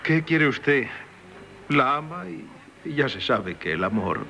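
A worldly aristocratic prince with a forceful, bright voice and expressive intonation. His speech is formal and courteous, on an old radio recording with faint noise. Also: medium pitch, takes it slowly, vocal fry, full character voice. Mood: resigned, philosophical. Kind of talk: 1970s Spanish radio drama acting.

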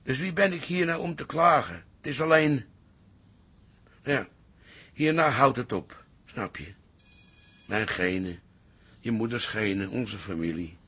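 An actor playing a gruff but tender older father in a radio drama, speaking in halting, colloquial phrases. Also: medium pitch, a bit bright. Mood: resigned, wistful. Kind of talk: naturalistic radio drama acting.

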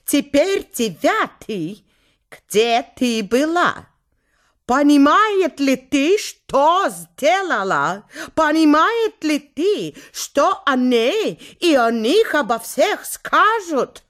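A woman as a slow, scolding strict mother. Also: sits high, very animated, a bit bright, voice pushed up, full character voice. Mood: indignant, reproachful. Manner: reproachful, emphatic questioning. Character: scolding, indignant strict mother of a young girl.

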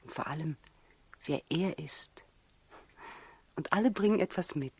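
An actress in a 1960s radio play as a gentle, proud, innocent young mother, speaking in a hushed, earnest, intimate way with a breathy voice. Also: low-pitched, measured pace, no echo, heavily acted.

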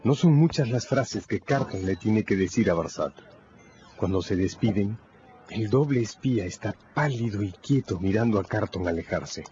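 A man delivering dramatic scripted narration for a radio drama, storytelling with deliberate pauses. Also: lowish voice, animated, highs cut off, background noise.

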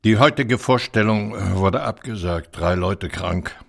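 An actor playing a pompous, irascible opera star in his eighties, theatrical and gravelly, in a German radio play. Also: fairly low pitch, unhurried. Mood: muttering, sardonic. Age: 80s. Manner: theatrical, blustering, insulting.

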